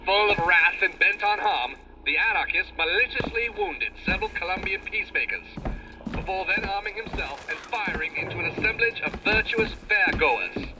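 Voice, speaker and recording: A man's voice: sits high, expressive, moderate pace, noisy background. An actor playing a formal male news announcer in a video game, delivering a dramatic bulletin. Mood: grave.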